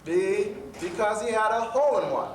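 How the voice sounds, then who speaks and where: A man playing a cheerful professor who hosts a children's storytelling stage show, speaking haltingly and stumbling over his words. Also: high voice, lively intonation. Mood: upbeat, presenting. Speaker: storyteller host. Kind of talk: live children's theatre hosting, telling jokes. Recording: echoey stage, distant mic, faint noise.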